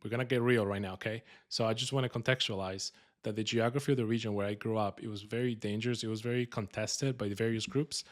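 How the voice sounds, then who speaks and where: A man's voice: on the low side, fairly even intonation, quick pace, hardly any pauses. A man from Colombia with a Colombian Spanish accent, hosting a podcast in an upbeat, joking, casual way with many fillers. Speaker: podcast host.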